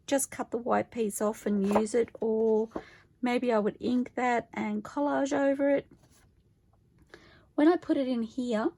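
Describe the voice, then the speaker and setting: A woman with a croaky voice, chatting casually to camera about her craft project, thinking aloud and correcting herself as she goes. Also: fairly high pitch, a little mellow, dry recording. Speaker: crafter vlogging her junk journal project. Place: home craft table, filmed from overhead.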